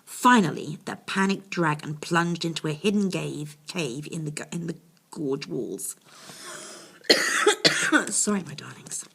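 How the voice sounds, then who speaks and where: A woman's voice: low-pitched, slow pace, creaky, long pauses, a bit bright, breathy edge. A woman reading a children's bedtime story expressively, with confiding asides to her young listeners.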